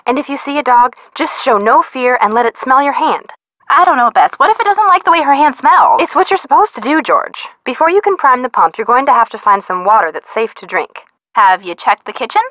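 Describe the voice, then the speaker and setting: A young American girl sidekick in a detective game. She is chatty and playful, with a fairly high, bright voice and lively, teasing swings. The sound is dry and close. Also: no room sound. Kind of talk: video game voice acting.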